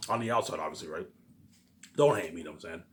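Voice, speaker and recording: A man with a lowish voice rambles through a personal monologue at a quick clip. He repeats himself and restarts often, pushing hard at the start of a thought and dropping into muttered tags at the end. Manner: repetitive, restarting sentences, constant verbal tags; emphatic openings trailing off into muttered asides. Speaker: vlogger.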